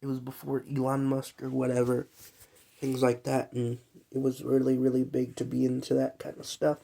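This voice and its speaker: A man's voice: medium pitch, monotone, normal speed, gravelly, dark tone. A young man who is sick and coughs now and then, talking in a loose, rambling, slangy vlog monologue full of fillers. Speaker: vlogger.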